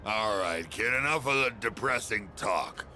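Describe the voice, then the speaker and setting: An actor playing a gruff, lonely, middle-aged drunken ex-businessman in a video game, with a blustering, slurred drawl. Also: lowish voice, expressive, unhurried, bright, forceful tone, soft background music, full character voice. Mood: weary, regretful. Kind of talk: English video game voice acting.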